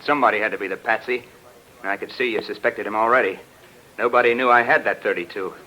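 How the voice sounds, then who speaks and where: An actor playing a cold, gloating murderer with a menacing, tough-talking manner, in 1940s radio crime drama style. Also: male voice, lowish voice, steady tone, fast talker, pushed and bright, poor audio. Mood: smug menace. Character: cold, gloating murderer holding the detective at gunpoint. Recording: old radio broadcast recording.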